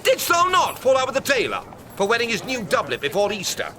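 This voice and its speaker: An actor playing a mocking, quick-witted, hot-headed young nobleman in a British accent, in a theatrical Shakespearean radio drama. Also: very high voice, huge pitch swings, bright, forceful tone, a little hiss or hum, heavily acted. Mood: teasing, mocking. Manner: theatrical, teasing, emphatic.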